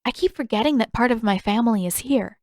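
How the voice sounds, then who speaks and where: A woman's voice: on the high side, quick pace, darkish tone, no room sound, studio quality, lightly acted. A woman voicing an outspoken teenage girl hero in a storybook, with a blunt, questioning manner. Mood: wistful.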